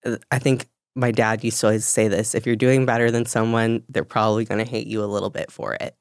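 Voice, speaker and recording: A young woman with a low voice and a slight lisp, co-hosting a podcast in a candid, self-deprecating way and laughing often. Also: little pitch movement, fast talker, dry recording, clean studio sound. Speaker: podcast co-host. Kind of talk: casual podcast chat.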